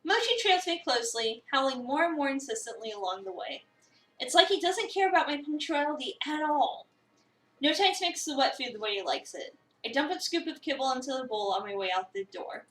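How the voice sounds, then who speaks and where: A woman's voice: sits high, expressive, a little fast, fairly bright tone, far from the mic. A woman streamer expressively reading a visual novel aloud, acting out lines and chatting casually in between. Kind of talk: dramatic reading of a game script with casual chat asides. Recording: roomy echo, away from the mic.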